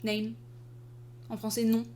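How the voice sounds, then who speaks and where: A young woman from France talks straight to her viewers in a loose, casual chat. Her voice sits a little high for a woman. She tells stories in a lively, exasperated way, with plenty of hesitations and fillers. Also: slow pace, a little hiss or hum. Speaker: artist and video creator.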